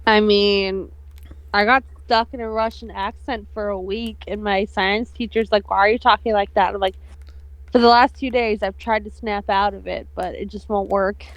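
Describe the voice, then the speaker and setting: A woman's voice: mid-range voice, fairly even intonation, moderate pace, no echo, low-quality recording. A woman co-hosting a casual podcast, goofy and jokey. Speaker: podcast co-host.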